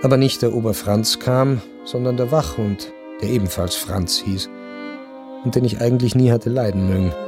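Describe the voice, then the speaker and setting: A man in a radio play acting a melancholy, detached baron who tells his story in measured, sombre literary narration. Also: medium pitch, music in the background, lightly acted. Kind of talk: literary first-person narration in radio drama.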